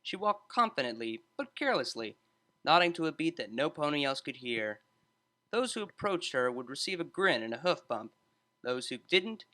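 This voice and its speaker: A man's voice: medium pitch, bright, forceful tone. A man reading a scripted story aloud as an audiobook narrator.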